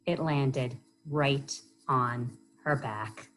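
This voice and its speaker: A woman reading a children's story aloud as a library storyteller, expressive and often pausing to put questions to young listeners. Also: rumbling low, poor audio.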